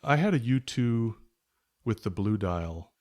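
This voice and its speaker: A man's voice: lowish voice, expressive, a little slow, a little mellow. A man being interviewed as a podcast guest, chatting casually and thinking aloud in a rambling, hesitant way. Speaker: podcast guest, writer and product journalist. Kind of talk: casual conversational interview chat.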